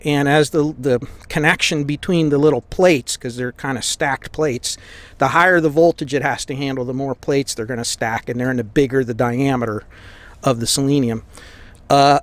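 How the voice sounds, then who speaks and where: American guy doing a hands-on repair walkthrough, medium pitch, chatty and explaining as he goes. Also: steady tone, moderate pace, no room sound. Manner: explanatory, conversational. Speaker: host of a radio repair channel. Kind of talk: casual hands-on demonstration. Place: indoor electronics workbench.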